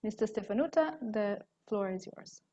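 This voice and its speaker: A middle-aged woman moderates an online event, introducing speakers formally with a halting delivery. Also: medium pitch, expressive, dark tone. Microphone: earphone headset on video call. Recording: dull lo-fi video call audio.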